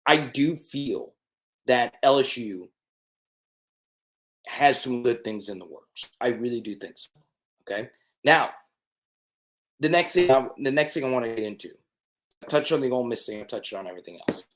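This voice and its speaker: A man's voice: mid-range voice, expressive, normal speed, pauses often. A man hosting a college football live stream, talking straight to viewers and often checking in with "okay?". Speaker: sports channel host. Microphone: desk mic with changed settings.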